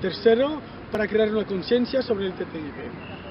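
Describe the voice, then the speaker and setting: Un hombre que da una charla en una jornada activista, hablando de forma entrecortada y con muchas palabras a medio decir. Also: male voice, sits high, animated, crowd noise. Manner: halting, many restarts and broken words. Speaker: activist speaker. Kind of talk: conference talk. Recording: dull lo-fi audio with loud traffic noise.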